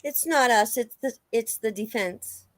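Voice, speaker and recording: A woman's voice: fairly high pitch, a little slow, dry recording, clean studio sound, close to an everyday voice. A woman reading the part of a casual, agreeable investigator in a police interview. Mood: explaining.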